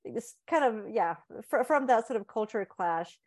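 A woman in her forties from Sweden, a guest author talking in a casual interview, thoughtful and halting, with many fillers and restarts. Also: a little high, animated, darkish tone.

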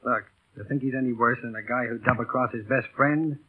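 An actor playing a tough, brusque boss of a small airline in 1940s radio drama, clipped, commanding and slangy. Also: male voice, mid-range voice, fairly even intonation, brisk, breathy edge, natural voice. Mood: firm, insistent. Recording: tinny, band-limited vintage radio broadcast.

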